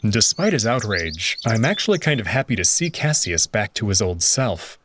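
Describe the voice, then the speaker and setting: A man narrating a visual novel in first person with an expressive, conversational delivery. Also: fairly low pitch, normal speed, background noise.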